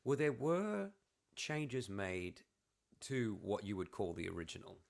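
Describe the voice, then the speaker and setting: A man co-hosting a podcast in casual chat, hoarse from losing his voice, wry and blunt. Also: lowish voice, big pitch swings, a little slow. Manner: wry, blunt, self-deprecating. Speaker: podcast co-host.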